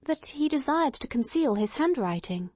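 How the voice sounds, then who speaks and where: A woman reading the part of a calm male companion who answers in brief, level replies in an audiobook. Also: high-pitched, normal speed, slightly rough, dry recording, voice pushed up.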